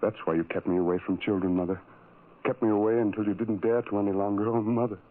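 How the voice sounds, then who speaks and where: A man's voice: on the low side, fairly even intonation, quick pace, narrow, tinny audio, a little hiss or hum, lightly acted. Man acting a tormented outcast, thin radio sound. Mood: bitter reproach. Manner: anguished, brooding, repeats phrases obsessively. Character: tormented, self-loathing ugly man, a sideshow freak narrator. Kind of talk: 1970s radio drama acting, first-person monologue. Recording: thin vintage radio sound, music underneath.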